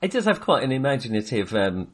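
A man's voice: mid-range voice, big pitch swings, fast talker. A man chatting casually as co-host of a film review podcast, speaking in a hesitant, self-qualifying way.

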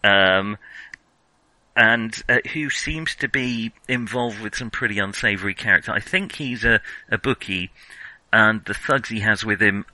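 A male podcast host with a very bright, sharp-edged voice, recorded dry and close. He talks casually about films in a wry, self-deprecating, rambling way, feeling for his words as he goes. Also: on the low side, unhurried, no echo, poor audio. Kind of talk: casual film discussion.

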